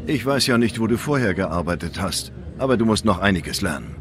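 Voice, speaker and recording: An actor playing a gruff but teasing bar boss in a video game, in a natural style. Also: male voice, low-pitched, animated, a little fast, over music. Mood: dryly teasing.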